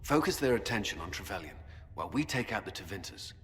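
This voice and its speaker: An actor playing a stern, cautious military commander in a fantasy video game, firm and blunt. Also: male voice, lowish voice, sing-song, fast talker. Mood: cautious, grave. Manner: grave, firm. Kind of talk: dramatic video game dialogue. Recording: reverberant stone-hall echo.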